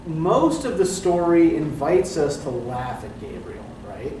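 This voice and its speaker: A man's voice: fairly high pitch, sing-song, a little slow, mellow. A male literature professor leading a class discussion, talking thoughtfully and checking in with "right?" as he goes. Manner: thoughtful, often adds "right?" and "um". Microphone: distant room recording. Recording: echoey classroom, distant mic, background noise.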